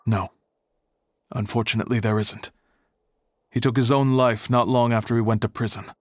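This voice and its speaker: An actor playing a reserved, serious archive keeper in a video game, speaking in a measured, guarded way. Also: male voice, medium pitch, fairly even intonation, slight background noise, natural voice. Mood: matter-of-fact.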